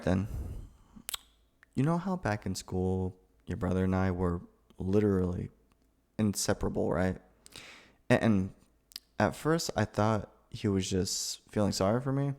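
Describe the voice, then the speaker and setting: A man's voice: fairly low pitch, gravelly, a little mellow. A young man playing a nervous, sweet, awkward roommate in an ASMR roleplay, stammering and hesitant. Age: young adult. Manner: flustered, stammering, hesitant, sighing. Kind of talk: ASMR boyfriend roleplay, acted monologue.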